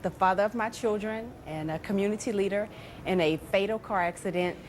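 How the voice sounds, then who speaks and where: A woman's voice: mid-range voice, brisk, a little hiss or hum. A woman answering questions as a campaigner in a news interview. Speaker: safety campaigner, interview guest.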